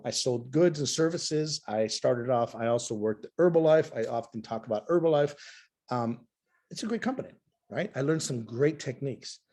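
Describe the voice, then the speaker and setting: A middle-aged man presenting over a video call, hoarse from talking nonstop, with an emphatic, repetitive sales delivery. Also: medium pitch, a little mellow.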